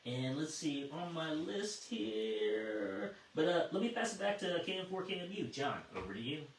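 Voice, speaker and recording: American male net controller, casual and halting, heard distant and roomy over a radio link. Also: a little high, sing-song, heavy background noise. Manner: halting, repeats words while thinking. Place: over amateur radio repeater. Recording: echoey, distant radio transmission.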